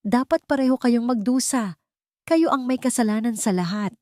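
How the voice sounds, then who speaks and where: A woman's voice: fairly high pitch, normal speed, a little mellow, dry recording, clean studio sound, pitched above the performer's own voice, lightly acted. A woman voicing a bitter, vengeful man in an audio drama story, accusing and emphatic. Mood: vengeful rage. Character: bitter, vengeful man raging over his nephew's ruin.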